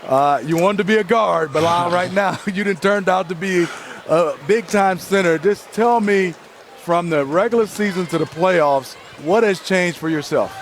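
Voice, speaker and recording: A man on a sports panel talks to the player as an old acquaintance, friendly and familiar. His voice is high for a man. Faint noise underneath. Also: normal speed.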